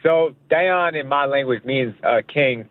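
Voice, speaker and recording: A man's voice: mid-range voice, unhurried, no room sound. Young man from Pakistan on a phone line. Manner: casual, repeats himself, confident. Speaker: boxer guest. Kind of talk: phone interview. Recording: phone-like narrow line, dry.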